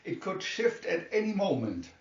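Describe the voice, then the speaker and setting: A brisk, alert rescue pilot read out by a man from a storybook, reporting in a businesslike way. The voice is slightly high and comes from a little way off in a roomy space. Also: very animated. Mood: brisk, concerned. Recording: dull, roomy, distant microphone.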